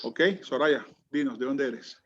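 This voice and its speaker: A man's voice: mid-range voice, steady tone, measured pace. Chatty man teaching over a call. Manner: chatty, many restarts and fillers. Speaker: Bible course teacher. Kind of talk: online class, lecturing and calling on students. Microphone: video call. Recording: muffled lo-fi video call audio.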